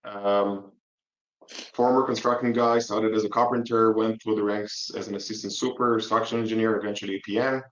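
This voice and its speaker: A man from Israel, a company co-founder and CEO, presenting a webinar in a brisk, friendly way with clipped phrases. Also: fairly low pitch, little pitch movement, a bit bright, slight background noise. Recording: roomy, dull lo-fi webinar audio.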